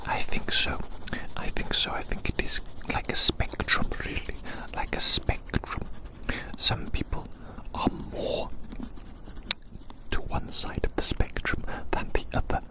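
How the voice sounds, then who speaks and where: A whispered ASMR voice, recorded outdoors. The performer muses slowly and repeats phrases softly, speaking directly to the listener like a small friend in a gentle roleplay. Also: rough recording.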